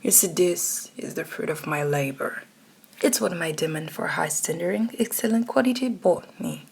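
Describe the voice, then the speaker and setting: A woman voicing a domineering, smug male boss in a hushed, breathy, commanding manner for an ASMR roleplay. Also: lowish voice, normal speed, full character voice. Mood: smug, controlled.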